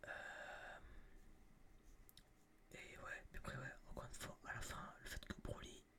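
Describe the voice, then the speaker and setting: A young man whispering a casual, slangy, rambling review in breathy ASMR style, close to a binaural microphone. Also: measured pace, bright, forceful tone. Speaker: ASMR video creator.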